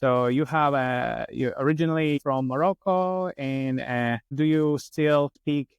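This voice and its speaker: A man hosting a podcast, asking slow, careful questions with some fillers. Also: medium pitch, vocal fry, clean tone, dry recording. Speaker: podcast host. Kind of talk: interviewing a guest.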